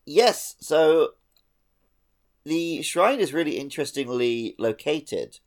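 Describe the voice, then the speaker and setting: A man's voice: a little high, expressive, slow pace. A man co-hosting a gaming podcast, chatting casually and going off on tangents. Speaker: podcast co-host.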